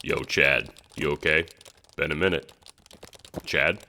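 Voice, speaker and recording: A man's voice: extremely low pitch, fairly even intonation, takes it slowly, bright, forceful tone, a little hiss or hum, exaggerated voice. A man playing a sarcastic, foul-mouthed teenage boy in an audio drama, talking in casual, hesitant slang. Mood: impatient.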